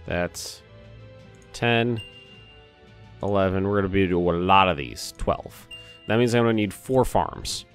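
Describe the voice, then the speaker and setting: A man with a slightly hoarse voice, casually commenting while he plays a city-building game, thinking aloud and cursing now and then. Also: low voice, a little slow, long pauses, soft background music. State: a little hoarse. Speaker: let's play host.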